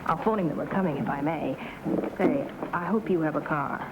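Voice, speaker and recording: Actress as businesslike female agent, deep-voiced and politely formal, old western drama with clear background noise. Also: brisk, dull audio, lightly acted. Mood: composed, polite. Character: cool, businesslike woman posing as government agent. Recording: noisy old western soundtrack.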